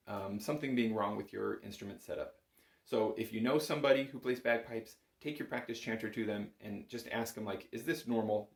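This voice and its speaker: Low-voiced man teaching, roomy sound. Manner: reassuring, informal, explains step by step. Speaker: bagpipe instructor. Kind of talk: casual instructional talk. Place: small indoor room at home. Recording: roomy, echoing small room.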